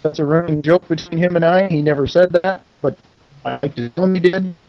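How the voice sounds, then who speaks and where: A man's voice: fairly high pitch, fairly quick, muffled recording. A middle-aged man giving a casual interview as a guest, talking in a folksy, self-deprecating and enthusiastic way. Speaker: interview guest, pinball company owner.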